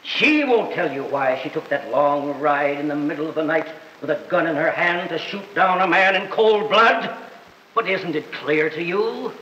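Dull, echoing old film sound carries a pompous male district attorney. His fairly high voice is bright and forceful, declaiming accusations like a practised orator. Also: a little hiss or hum. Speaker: actor playing a prosecutor. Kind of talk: old film courtroom drama acting.

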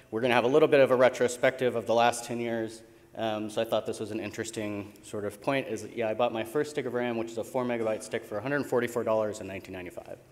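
An American man speaks as a keynote presenter on a conference stage. His voice sits fairly low, and his delivery is informal and a little hesitant, dotted with ums. The sound carries the long reverb of a big hall. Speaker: conference keynote speaker, tech company co-founder.

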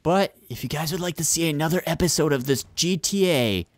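A man hosting a gaming video, giving excitable, urgent callouts and casual roleplay commentary as he plays with others. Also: a little high, fairly quick, no echo.

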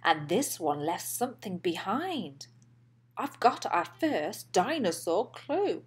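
Animated, unhurried woman reading a children's story. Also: mid-range voice, slightly rough. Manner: animated, deliberate delivery with emphatic phrasing. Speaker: host and children's storybook reader. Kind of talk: expressive read-aloud storytelling for children.

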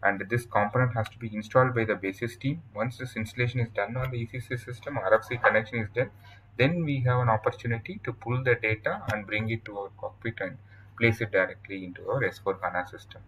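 A man with an Indian accent presenting a software tutorial in a halting, explanatory way over slides. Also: medium pitch, steady tone, slight background noise, rough recording. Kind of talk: informal scripted-outline tutorial narration over slides.

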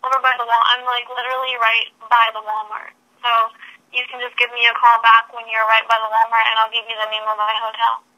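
A woman's voice: fairly high pitch, completely flat delivery, fast talker, bright, forceful tone. A woman on a phone call, talking casually in a blunt, businesslike way. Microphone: telephone.

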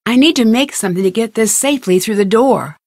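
A woman playing a young, curious and determined amateur detective in a video game, thinking aloud in clearly articulated scripted lines. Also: a little high, fast talker, no echo, clean studio sound. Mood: musing, focused.

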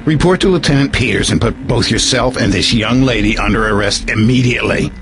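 Man playing a gravelly, irascible colonel. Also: medium pitch, fairly quick, lightly acted. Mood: angry, incredulous. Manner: barking, commanding, incredulous. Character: gruff, irascible American male army colonel. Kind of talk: audio drama acting.

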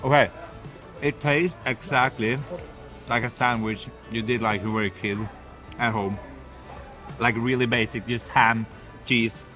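A man's voice: mid-range voice, steady tone, a little slow, pauses often, sharp tone, faint music behind, rough recording. A man in his thirties from Sweden with a Swedish accent, talking upbeat and playful as a food vlogger on a lapel mic at an outdoor food court. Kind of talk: casual food-tasting chat. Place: outdoor food court seating under a roof.

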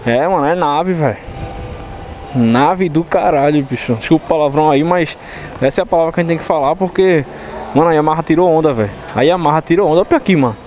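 A young man from Recife with a Pernambuco accent, hyping motorcycles in a slangy, excited vlog from a showroom on an action camera. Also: on the high side, quick pace, a little hiss or hum. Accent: Pernambuco Brazilian Portuguese. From Recife, Brazil. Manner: excited, slangy, hype-driven. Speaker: motorcycle vlogger. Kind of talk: casual slangy vlog chat. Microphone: chest-mounted action camera.

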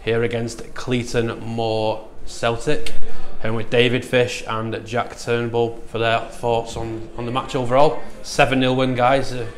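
A man's voice: medium pitch, little pitch movement, unhurried, few pauses. A man, a club TV interviewer asking chatty post-match questions that often end in tag questions.

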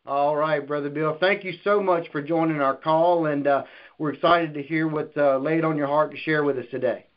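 Man, conference call host. Fairly high pitch, informal and halting business updates, lo-fi phone audio. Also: steady tone. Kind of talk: business announcements. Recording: dull lo-fi conference phone line.

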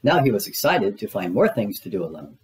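Expressive man narrating a children's storybook. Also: fairly low pitch, fairly quick. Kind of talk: children's read-aloud narration.

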